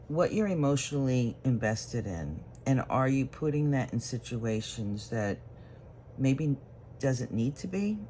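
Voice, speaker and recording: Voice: mid-range voice, a little mellow, slight background noise. A tarot reader giving a solo reading straight to the viewer, thinking aloud while drawing cards. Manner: unhurried, thinking aloud as cards are drawn.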